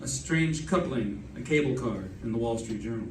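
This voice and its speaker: A man's voice: mid-range voice, animated, moderate pace, lightly acted. A man playing a pompous, tipsy photographer sidekick in an old-time radio drama, talking in a flowery, arch, theatrical way. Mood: dry, affected. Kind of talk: old-time radio comedy-mystery acting. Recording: echoey room, distant, background noise.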